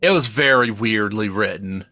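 A man's voice: on the low side, normal speed, sharp tone. A man co-hosting a comedy podcast, reading spam emails theatrically and breaking into sweary, casual asides. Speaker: podcast co-host.

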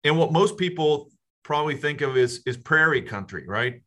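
A man hosting a casual podcast interview, speaking haltingly with frequent restarts and fillers. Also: a little high, lively intonation, fairly bright tone. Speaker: podcast host.

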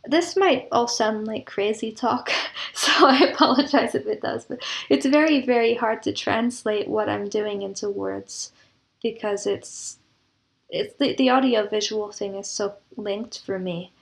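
A woman's voice: medium pitch, measured pace, gravelly. A woman speaking as an interview guest, explaining her creative work in a thoughtful, descriptive way. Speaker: guest composer and audio drama producer. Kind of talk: reflective interview talk.

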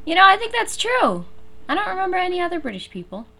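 A woman's voice: extremely high pitch, sing-song, quick pace, vocal fry, bright, forceful tone. An American woman hosting a fan podcast, chatting in a giggly, enthusiastic way. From USA. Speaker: podcast host. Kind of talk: casual fan commentary chat.

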